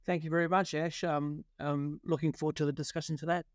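A man with a New Zealand accent talks through his career in a podcast interview, pausing often and restarting his sentences. His voice sits fairly high for a man. The sound is dry and close, with no room echo. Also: little pitch movement. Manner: hesitant, many fillers and restarts. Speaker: guest, partnership consultant.

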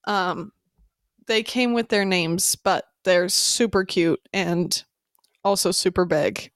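Stuffy-nosed woman, smooth clear voice. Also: on the low side, takes it slowly, no room sound, studio quality. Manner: bubbly, says "like" a lot. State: stuffy nose from allergies. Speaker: podcast co-host. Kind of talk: casual chat.

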